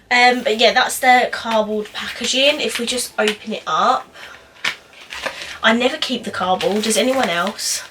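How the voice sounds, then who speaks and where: Young woman vlogging in her bedroom, a bit nasal from a cold, bright voice, mic kinda far off, faint hiss. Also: medium pitch. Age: young adult. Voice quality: slightly nasal. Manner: chatty, rambling, many "um"s and restarts. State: getting over a cold. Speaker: beauty vlogger. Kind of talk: casual chatty makeup review. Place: small bedroom indoors.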